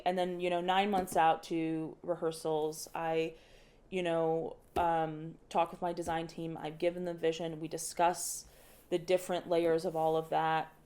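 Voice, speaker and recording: A woman's voice: lowish voice, a little mellow. A young woman, an opera director, talking casually in a podcast interview, thoughtful and often restarting her sentences with light laughs. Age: young adult.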